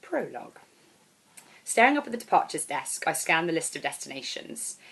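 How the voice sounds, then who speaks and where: Woman author with a lowish voice, reading. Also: very animated, normal speed, gravelly, fairly bright tone, breathy edge. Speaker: author reading own novel. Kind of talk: expressive storybook narration.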